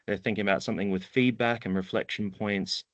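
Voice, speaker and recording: A man's voice: fairly low pitch, steady tone, a little fast, no room sound, dull audio. A man with a New Zealand accent giving an informal slide presentation, laid-back and slangy in his way of talking. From central North Island, New Zealand. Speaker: webinar presenter, environmental data worker.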